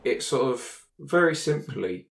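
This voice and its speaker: A man speaking in a halting, hesitant way as he improvises and chats as a player in a tabletop roleplaying game. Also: medium pitch, lively intonation, creaky.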